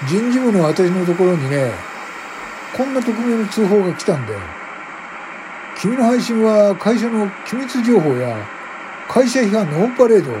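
A man's voice: sits high, big pitch swings, moderate pace, background noise, pitched above the performer's own voice. A man playing an overbearing personnel manager in a radio drama, scolding an employee in a stern, accusatory, condescending way. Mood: stern, accusing. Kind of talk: amateur radio drama acting.

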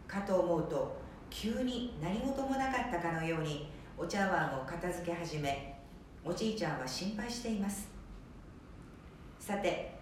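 A woman's voice: medium pitch, a little hiss or hum. A woman hosting a small radio show, presenting from a script with cheerful, announcer-like emphasis. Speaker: host. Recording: echoey room from a distance.

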